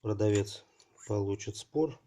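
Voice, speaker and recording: A man hosting a casual unboxing video, talking haltingly and thinking aloud while his voice is affected by a cold. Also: lowish voice, dark tone. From Russia. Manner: halting, thinking aloud, many fillers like "so" and "there". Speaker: channel host unboxing parcels.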